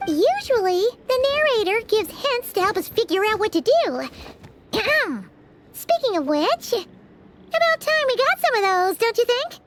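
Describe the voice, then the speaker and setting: A woman voicing a tiny, excitable floating companion with a squeaky, cheeky, expressive voice. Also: a little slow, background noise, full character voice. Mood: curious.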